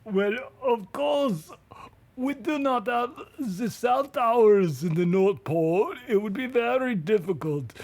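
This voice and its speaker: A man playing an ancient, jolly, chatty Santa Claus with a German accent, explaining things in a halting, slightly fussy way. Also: sits high, expressive, darkish tone, full character voice. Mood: jolly, explanatory. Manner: halting, explanatory, stumbling over words. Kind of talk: improvised comic character interview.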